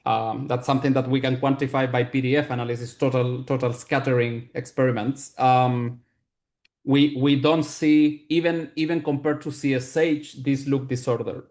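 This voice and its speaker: A man from Chile with a Chilean Spanish accent, a native Spanish speaker, answers technical questions as a researcher in a deliberate, explanatory way, often repeating words as he searches for phrasing. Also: mid-range voice, fairly even intonation, darkish tone.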